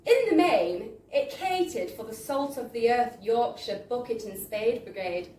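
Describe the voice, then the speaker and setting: A woman reads a story to a live room in a high, theatrical voice. Her pitch swings freely and expressively. She sounds distant from the mic in an echoey space. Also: animated, unhurried, darkish tone, a little hiss or hum. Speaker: reader of a book excerpt. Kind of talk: live dramatic storytelling reading. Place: live book launch in a bar.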